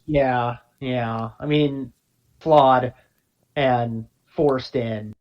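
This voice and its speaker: A man's voice: mid-range voice, fairly even intonation, extremely slow, highs cut off. A man co-hosting a podcast, talking in a rambling, agreeable way with many restarts. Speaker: podcast co-host. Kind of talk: casual podcast discussion.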